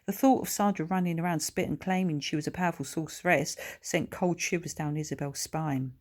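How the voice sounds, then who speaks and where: A woman's voice: sits low, steady tone, a little fast. A woman reading her own children's book aloud as scripted story narration.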